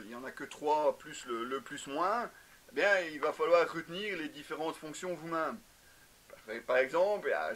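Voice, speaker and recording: A man's voice: fairly high pitch, fairly bright tone. A man in his thirties giving a casual product review to camera in a garage, explaining with frequent hesitations. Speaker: motorcycle gear reviewer. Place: indoor garage with shelves.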